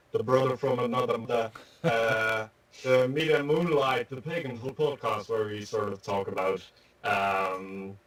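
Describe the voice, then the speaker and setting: A man's voice: fairly low pitch, a bit bright. Man on a distant mic. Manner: loose, filler-heavy rambling. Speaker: musician guest. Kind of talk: casual interview answers.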